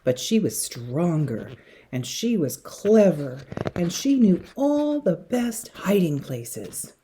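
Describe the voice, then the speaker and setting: A woman reading a picture book to young children in an expressive, dramatic way, with hushed passages and emphatic words. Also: on the low side, takes it slowly, slight background noise. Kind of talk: expressive children's story read-aloud.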